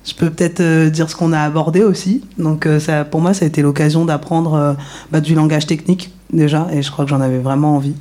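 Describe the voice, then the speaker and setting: A woman's voice: low voice, steady tone, fairly quick, warm tone. A woman talking casually in a round-table discussion, searching for her words as she goes. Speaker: radio workshop participant.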